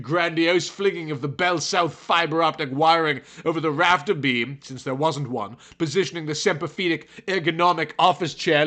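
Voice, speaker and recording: A man narrates a piece of fiction in a theatrical, emphatic style. His voice sits a little high for a man and has a bright, forceful edge. He gives the scripted prose heavy dramatic weight. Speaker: narrator reading a fiction chapter.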